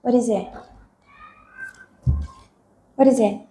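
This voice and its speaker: A woman in her thirties leads a one-on-one phonics lesson, breaking each word into slow, deliberate sounds. Her voice sits a little high and moves at a very unhurried pace. The small classroom adds a noticeable echo. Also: warm tone, a little hiss or hum. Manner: patient, slow, sounding out words letter by letter. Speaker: English teacher. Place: small classroom with a world map on the wall.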